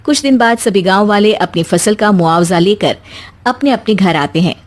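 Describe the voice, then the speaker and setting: A woman's voice: mid-range voice, lively intonation, moderate pace. A woman storyteller reading scripted narration for a moral story.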